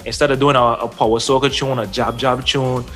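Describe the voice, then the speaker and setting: A man's voice: medium pitch, little pitch movement, a little fast, fairly bright tone, soft background music. A man interviewing a guest, enthusiastic and tripping over his own restarts. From Barbados. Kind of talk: casual interview.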